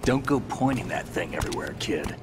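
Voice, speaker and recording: A gruff, calm veteran soldier in a game cutscene. His voice sits very low and he speaks curtly, with a faint ambient hiss behind. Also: brisk.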